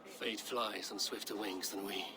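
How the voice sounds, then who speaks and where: An actor playing a bold, steadfast Viking warrior in a game cutscene, with terse, formal speech. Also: male voice, lowish voice, steady tone, measured pace, vocal fry, noisy background. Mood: determined. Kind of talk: dramatic game cutscene acting.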